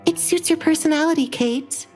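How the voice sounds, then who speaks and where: A woman's voice: high voice, normal speed, darkish tone, faint music behind, voice pushed up, close to an everyday voice. A woman voicing a wronged, defiant young noblewoman in an audio drama, speaking coldly through gritted teeth. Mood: contemptuous anger.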